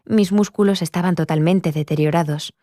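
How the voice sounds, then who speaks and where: An actress voicing a mellow, earnest woman. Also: lowish voice, moderate pace, dry recording, studio quality, lightly acted. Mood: earnest, reflective. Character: earnest adult woman recounting her near-death experience. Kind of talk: dubbed personal testimony.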